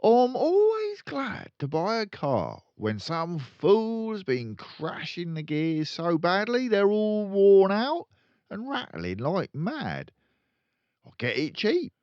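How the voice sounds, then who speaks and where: An older storyteller acts out a crooked car-dealer dad from a children's storybook, a man who brags loudly and sneers. His pitch is pushed up and swings wildly, in a roomy, echoing recording. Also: huge pitch swings, slow pace, lo-fi sound, full character voice. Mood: gleeful bragging. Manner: loud bragging, sneering, colloquial. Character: boastful, crooked, rude car-dealer father, middle-aged.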